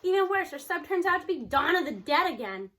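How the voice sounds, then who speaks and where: A woman reading a children's novel aloud in an expressive storybook style, acting out the dialogue. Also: very high-pitched, brisk. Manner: animated, acts out the characters' dialogue.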